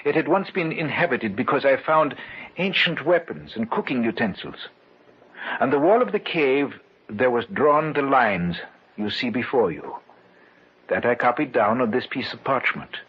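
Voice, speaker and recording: An actor playing a solemn, mysterious foreign treasure seeker, speaking formally and with measured storytelling in an old radio drama. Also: male voice, medium pitch, lively intonation, husky. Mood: earnest, assured. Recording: muffled, hissy old radio recording.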